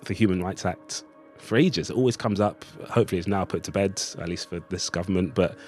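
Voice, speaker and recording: A man's voice: low voice, a little fast, a little mellow, faint music behind. A man giving a thoughtful, discursive interview as a writer, speaking in a reflective conversational way. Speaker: writer of a radio play, interviewee.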